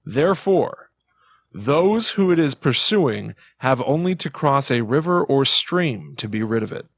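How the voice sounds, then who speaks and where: A man co-hosting a podcast, explaining things in a casual, halting way as he thinks aloud. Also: mid-range voice, lively intonation, a bit bright, no room sound, clean studio sound. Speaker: podcast co-host.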